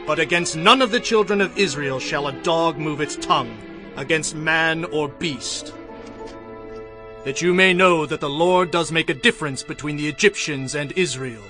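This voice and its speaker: A stern Hebrew prophet voiced by a male actor in a scripture drama, declaiming firmly and solemnly. The voice is a little high, with prominent music underneath. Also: moderate pace, lightly acted. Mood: solemn warning. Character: stern, unyielding Hebrew prophet confronting a king. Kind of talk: dramatized audio Bible acting.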